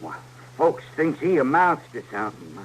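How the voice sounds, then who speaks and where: An actor playing a slow-witted, childishly stubborn outlaw sidekick in an old-time radio Western, speaking simply and insistently with a rural American West accent. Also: male voice, medium pitch, big pitch swings, lightly acted, poor audio. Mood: pleading, stubborn. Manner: simple, plaintive, insistent folksy speech. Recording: old radio recording with faint hum and noise.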